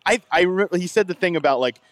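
A man's voice: high-pitched, animated, fast. A man hosting a podcast in casual chat. He talks loosely, laughs often and fills his sentences with restarts and fillers. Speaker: podcast host.

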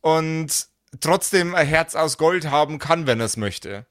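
A man's voice: on the high side, animated, slow pace, fairly bright tone, clear, resonant tone, no room sound. A man chatting casually with dry, sweary humor, often repeating his words as he goes. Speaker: player on a role-play podcast. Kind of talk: casual chat.

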